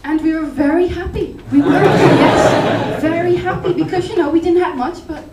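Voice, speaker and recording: A Dutch-accented woman with a high voice works through a stand-up set, full of hesitations and deadpan asides. She sounds distant from the microphone in an echoey club room with loud background noise. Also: normal speed, over a noisy crowd. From Netherlands. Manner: rambling, hesitant, deadpan absurd. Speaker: stand-up comedian. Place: comedy club stage. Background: audience laughter.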